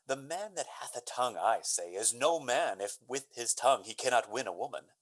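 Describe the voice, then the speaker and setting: A man playing an earnest, courteous young gentleman lover in a Shakespearean radio drama, speaking florid verse. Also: medium pitch, expressive, moderate pace, lightly acted. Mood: confident, advising. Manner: hesitant openings, then florid Shakespearean verse.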